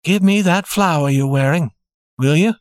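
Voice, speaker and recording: A man's voice: on the high side, moderate pace, dry recording, studio quality, voice pushed up, close to an everyday voice. A man voicing a composed young reformed safecracker in an audiobook story. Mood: tender. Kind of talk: audiobook character voice.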